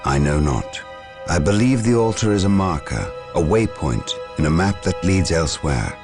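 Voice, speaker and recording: Extremely deep-voiced, solemn warrior scholar. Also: male voice, lively intonation, music in the background. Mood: solemn, studious. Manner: measured, solemn, formal. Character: solemn, proud male warrior scholar of space marines.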